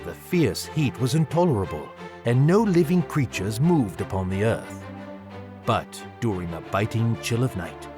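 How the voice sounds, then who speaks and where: An actor playing a British army colonel telling his daughter a story in an audio drama, measured and literary. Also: lowish voice. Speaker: storyteller, narrator.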